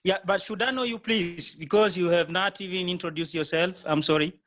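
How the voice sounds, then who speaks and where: A man's voice: sits high, fairly even intonation, a little fast, a bit bright. A man from Congo, not a native English speaker, talking hesitantly while interpreting a class for students. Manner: hesitant, many fillers.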